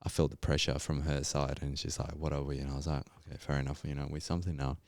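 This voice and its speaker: Very deep-voiced man with Colombian accent. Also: little pitch movement, fast talker, a little mellow, dry recording, clean studio sound. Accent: Colombian Spanish. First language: Spanish. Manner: reflective, storytelling, frequent fillers. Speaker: podcast guest. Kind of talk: casual interview chat.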